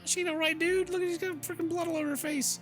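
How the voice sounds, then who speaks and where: A man's voice: very high-pitched, little pitch movement, fast talker, a bit bright. A man streaming a game, reading narration aloud and breaking into playful, casual asides. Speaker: gaming streamer. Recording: game music behind the voice.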